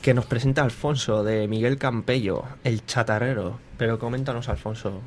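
Relaxed joking man hosting community radio. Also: mid-range voice, normal speed, slight background noise. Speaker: radio show host. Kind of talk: casual radio chat.

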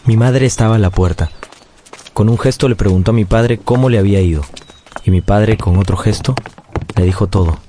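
An actor narrating a radio drama as a grown man recounting his childhood, in a measured, solemn and tender way. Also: fairly low pitch, dark tone, slight background noise, close to an everyday voice. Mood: grave recollection.

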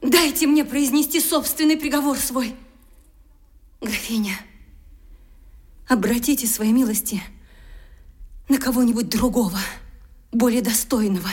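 Shy young girl full of guilt, radio drama, high voice, slow n hesitant, anguished. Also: a little mellow. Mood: anguished, resolute. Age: young adult. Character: timid, guilt-ridden young woman, humble adopted ward. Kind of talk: Russian radio drama acting.

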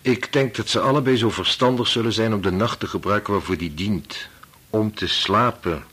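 An actor playing a weary, sensible doctor husband in a Dutch radio play, rough-voiced, dismissive and patronising as he reasons things away. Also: on the low side, moderate pace, a bit bright. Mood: tired, dismissive. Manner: dismissive, reasoning, sighing interjections.

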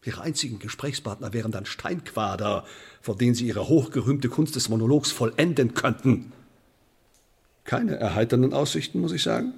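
Sardonic old-time sheriff in a radio play, mid-pitched husky voice, officious, threatening in a polite way. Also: male voice, a little mellow. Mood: sardonic menace. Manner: officious, threatening, mock-polite, deliberate emphasis. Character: menacing, sardonic Elizabethan English sheriff interrogating a prisoner. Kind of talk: German radio drama acting.

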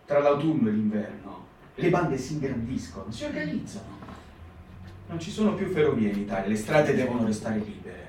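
A man giving a lively, urgent narration during a staged dramatic reading. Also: medium pitch, a little fast. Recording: echoey stage reading from far off, faint hiss.

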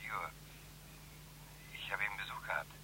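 Sharp, nervous crook on the phone. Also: male voice, low voice, moderate pace, a little hiss or hum, rough recording. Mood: shaken, anxious. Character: nervous, insistent small-time male crook. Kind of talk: radio crime drama acting.

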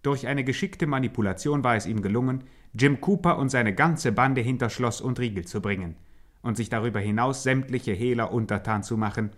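An actor playing a formal co-narrator in a 1950s radio play, measured and dryly ironic. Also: male voice, mid-range voice, lightly acted. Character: dry, formal male co-narrator of crime satire. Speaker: second narrator.

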